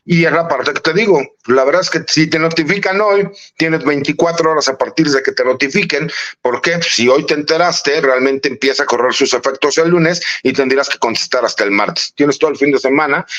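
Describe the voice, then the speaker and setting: A man's voice: medium pitch, brisk, dull audio. Lawyer in his late forties. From Mexico. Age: late 40s. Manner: warm, conversational, often says "mi querido Manuel". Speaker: lawyer and political analyst guest. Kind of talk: casual interview commentary.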